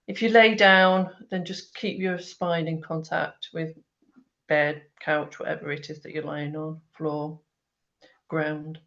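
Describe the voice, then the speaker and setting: A woman leading a grounding meditation with slow, soothing instructions. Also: fairly low pitch, fairly bright tone. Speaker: meditation guide. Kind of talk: guided meditation. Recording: dull lo-fi online audio.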